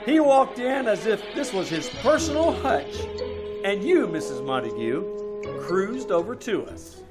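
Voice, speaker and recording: A man's voice: sits high, very animated, a little slow, over loud music. A man playing a wry, wisecracking private detective, dryly narrating and talking in an old-time radio comedy. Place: live small-town theater stage.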